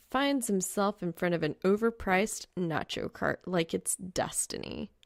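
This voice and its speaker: Woman reading an audiobook, wry delivery, lots of vocal fry, very dry sound no echo. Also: mid-range voice. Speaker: audiobook narrator. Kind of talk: expressive fan fiction narration.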